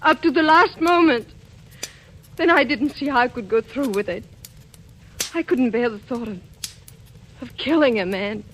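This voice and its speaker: A woman's voice: a little high, expressive, measured pace, long pauses. An actress playing a guilt-ridden, tragic woman assassin in a 1940s radio suspense drama, in a melodramatic style. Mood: anguished, pleading. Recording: noisy old radio recording.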